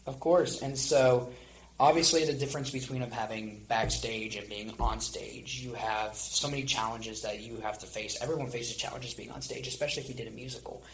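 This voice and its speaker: A young man with a mid-pitched voice works as a podcast host, posing questions to guests. The recording carries some background noise and small clicks. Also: steady tone, brisk, poor audio. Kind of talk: interviewing guests.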